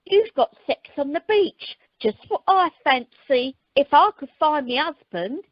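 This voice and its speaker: A brash, blunt holidaymaking wife , played by a woman, chatting in a high, very animated voice. It is amateur radio comedy, with a dull, dry telephone sound. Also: a little slow, no room sound. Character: brash, earthy, blunt married holidaymaker Sharon.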